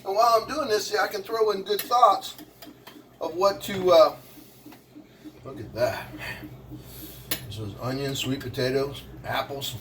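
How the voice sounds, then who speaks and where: A man's voice: high-pitched, unhurried, long pauses, a bit bright, recorded from a distance, a little hiss or hum. A man in his sixties, a vlogger and motivational speaker, chatting casually to the viewer in a rambling way while he cooks in his kitchen. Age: 60s. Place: home kitchen.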